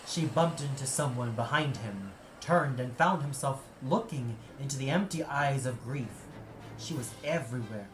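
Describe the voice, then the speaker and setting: A man's voice: mid-range voice, lively intonation, moderate pace. A man narrating an audio play as a dramatic, scripted storyteller. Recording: echoey, with noise behind. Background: ambient sound effects.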